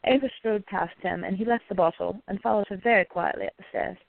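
A woman's voice: mid-range voice, quick pace, vocal fry, no room sound, narrow, tinny audio. A woman narrating a radio play from a script.

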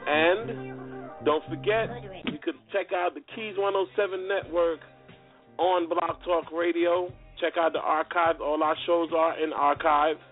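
A man's voice: on the high side, steady tone, measured pace. Casting: man as slangy radio interview host, conversational, narrow phone-quality audio with faint music. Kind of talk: casual talk radio interview.

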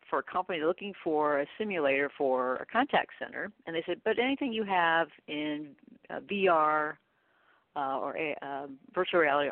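A woman's voice: low voice, no room sound. A middle-aged woman speaking as a guest expert, answering conversationally with informal, rambling anecdotes and acted-out bits of dialogue. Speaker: guest expert, training company CEO. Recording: tinny, dry phone-line audio.

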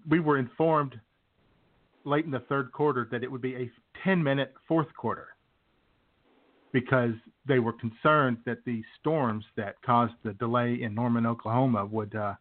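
A man's voice: mid-range voice, steady tone, normal speed. Man chatting sports over tinny phone-like audio. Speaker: co-host of a comedy radio show. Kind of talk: casual sports chat. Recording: tinny phone-like narrow band.

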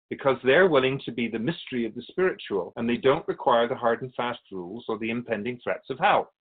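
Radio drama role: male actor as a wise spirit guardian of souls, didactic storyteller, animated intonation, mid-pitched. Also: phone-quality sound. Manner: didactic, measured explanations with pointed asides. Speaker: interviewed guest. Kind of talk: scripted radio drama dialogue.

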